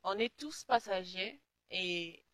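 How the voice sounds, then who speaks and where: Bright-voiced young woman testifying. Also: medium pitch, expressive, slow pace, no echo. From Congo. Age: 20s. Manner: earnest, sincere. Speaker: guest.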